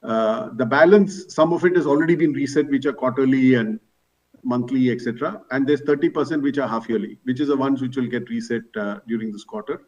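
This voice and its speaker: A man's voice: mid-range voice, fairly quick, a little mellow. A man, a company executive, answering analysts' questions on an earnings call, explaining things point by point. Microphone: conference call. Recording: distant, slightly dull conference call audio.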